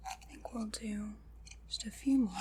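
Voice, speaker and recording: A woman playing a wry, faintly condescending witch in an ASMR roleplay, whispering softly and musing as she goes. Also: on the high side, steady tone, slight background noise, lightly acted. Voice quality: soft, breathy whispering. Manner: unhurried, musing, dryly teasing.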